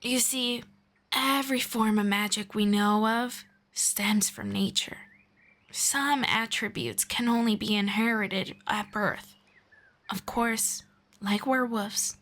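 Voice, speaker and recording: Woman voicing a soft-spoken ageless elf mentor. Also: mid-range voice, steady tone. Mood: gentle, soothing. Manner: soft-spoken, slow and patient, drifting into whispers. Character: gentle ageless female elf mentor, patient and kind. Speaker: voice actor. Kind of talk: ASMR roleplay.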